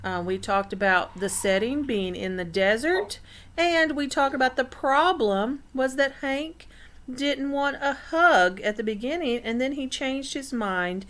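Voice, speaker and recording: Female teacher for children's read-aloud: fairly high, crisp-edged bright voice, pauses to explain and quiz listeners. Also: animated. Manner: explanatory, asks listeners questions. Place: indoor room.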